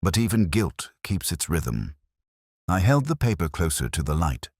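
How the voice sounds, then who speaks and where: Very deep, brooding male narrator. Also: big pitch swings, a little mellow, no echo, studio quality. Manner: literary, brooding, measured. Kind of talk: scripted first-person detective story narration.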